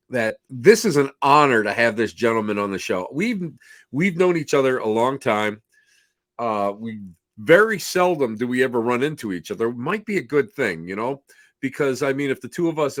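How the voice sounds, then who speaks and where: A middle-aged man with a slightly hoarse voice, chatting in a folksy, effusive, rambling way as he opens a talk show as its host. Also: mid-range voice, no room sound, studio quality. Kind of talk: casual rambling show introduction.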